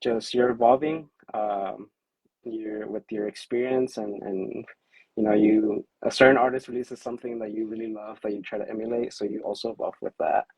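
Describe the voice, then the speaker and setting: A man on a livestream video call, chatting casually and hesitantly with many fillers, with a fairly monotone voice. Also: on the low side, moderate pace, breathy edge, dry recording, low-quality recording. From California. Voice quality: self-described monotone. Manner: hesitant, many fillers, self-described awkward and anxious. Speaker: musician on a livestream chat.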